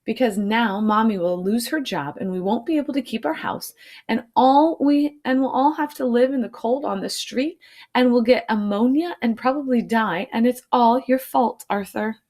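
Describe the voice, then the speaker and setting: A woman's voice: fairly high pitch, moderate pace, a little mellow, voice pushed up. A woman voicing a bossy, dramatic little sister in a children's storybook, taunting and melodramatic. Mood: melodramatic doom. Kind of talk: children's storybook read-aloud.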